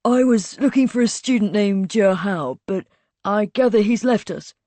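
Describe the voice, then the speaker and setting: Brief: polite middle-aged male director, read by a woman, measured and reserved, dry close sound. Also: medium pitch, expressive, darkish tone, dry recording.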